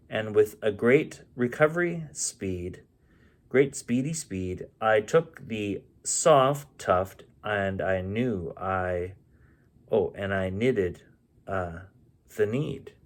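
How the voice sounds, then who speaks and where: A man reading a rhyming children's storybook aloud as a bedtime story host, with an expressive, unhurried delivery and the odd stumble. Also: lowish voice. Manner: unhurried, stumbles and corrects himself.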